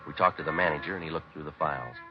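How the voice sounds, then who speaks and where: A man's voice: very deep voice, rapid-fire. An American man playing a terse, businesslike police detective in 1950s radio crime drama, speaking in a clipped, matter-of-fact, formally polite way. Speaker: detective narrator. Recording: muffled vintage radio recording with music.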